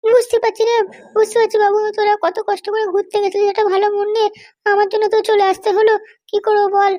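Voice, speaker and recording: A woman voicing an evasive, placating middle-aged cartoon mother, coaxing with excuses in a pitched-up voice. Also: level tone, fast talker, darkish tone, dry recording, studio quality. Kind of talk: comedy cartoon dialogue.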